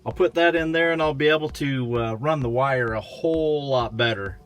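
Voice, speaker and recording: A middle-aged American man narrating a hands-on vlog in a casual, unhurried way, thinking aloud as he goes. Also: medium pitch, a bit bright, clear, resonant tone, faint music behind. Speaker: vlogger showing a vehicle radio installation.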